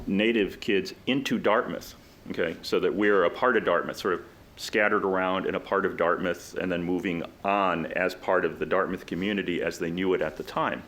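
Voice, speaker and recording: Deep-voiced man on a panel. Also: a bit bright. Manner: conversational, many "you know" fillers. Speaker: alumni panelist. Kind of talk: reflective panel discussion. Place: college event room.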